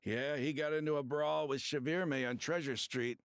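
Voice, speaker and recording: Man voicing a very gravelly, chatty townsman. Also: a little high, steady tone, moderate pace, no room sound, clean studio sound, close to an everyday voice. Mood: sociable. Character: chatty male townsman welcoming visitors, gossipy. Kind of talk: video game voice acting.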